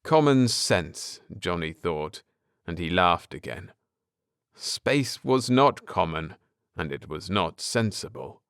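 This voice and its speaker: Male audiobook narrator, lower register, very clean resonant delivery, measured and dramatic sci-fi storytelling. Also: big pitch swings, a bit bright, clean tone, no echo, studio quality. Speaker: audiobook narrator and channel host. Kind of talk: scripted dramatic narration of a science fiction story.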